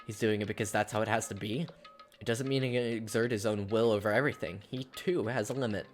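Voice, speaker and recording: A woman voicing a level-headed young male student, talking in a quiet, wary, thoughtful way. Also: rumbling low, fast talker, voice pushed down. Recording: faint game music behind.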